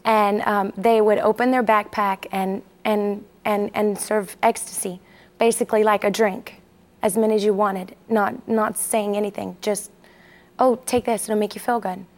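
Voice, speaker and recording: A woman's voice: medium pitch, flat delivery, normal speed. A woman in her forties from Texas, telling her personal story candidly and hesitantly as an interview guest. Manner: candid, hesitant, with many fillers.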